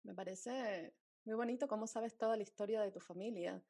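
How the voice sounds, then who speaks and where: A woman's voice: mid-range voice, normal speed, warm tone, slightly rough. A woman interviewing someone in a casual, conversational style.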